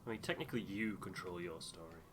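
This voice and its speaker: Guy with a deep voice doing chatty game commentary, keyboard clicks and noise behind him. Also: fast. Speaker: gaming video co-host. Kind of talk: casual playthrough commentary.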